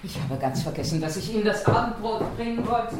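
Woman playing a proper, very expressive Victorian landlady. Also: medium pitch, a little fast, close to an everyday voice. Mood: polite, guarded. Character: married London landlady, proper, deferential to gentlemen, bitter wife. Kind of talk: German radio drama acting. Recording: echoing room, faint noise.

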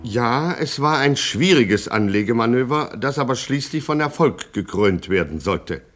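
An actor as the formal, dryly ironic narrator of a satirical gangster radio play. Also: male voice, mid-range voice, lively intonation, moderate pace, fairly bright tone. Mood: dry, measured.

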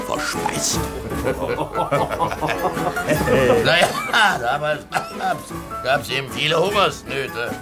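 An actor in a German radio play playing a drunken, down-at-heel lawyer who rambles, preaches bitterly and slides into slurred muttering. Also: male voice, lowish voice, heavily acted. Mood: boozy, boastful. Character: drunken, down-at-heel Russian lawyer, bitter self-styled prophet.

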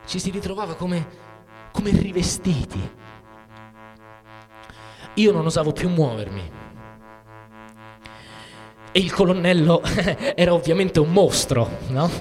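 An actor playing a frightened, cynical soldier who tells of war in a halting dramatic literary monologue, repeating words and breaking off mid-phrase. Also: male voice, high-pitched, animated, darkish tone. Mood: agitated, appalled. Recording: music under the voice. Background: music.

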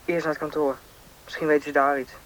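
Woman doing determined stubborn lad in radio drama, talks quick, faint noise. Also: sits low, little pitch movement, natural voice. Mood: determined, restless. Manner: terse, determined. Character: stubborn, brave young boy, Mihail. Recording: faintly noisy radio play recording.

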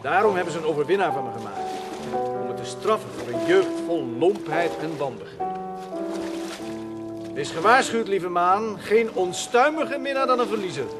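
A lovelorn, bombastic romantic loser in a radio drama. His highish voice swings widely as he declaims in florid, theatrical phrases, with prominent music behind him. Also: lively intonation, moderate pace. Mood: rueful confession. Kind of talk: radio play monologue.

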